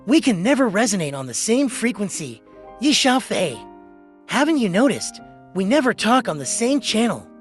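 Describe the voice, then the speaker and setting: A woman's voice: mid-range voice, very animated, normal speed, lightly acted. A woman voicing a cold, curt, impatient young boyfriend in a romance comic dub. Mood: detached. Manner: curt, dismissive. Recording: music behind the voice.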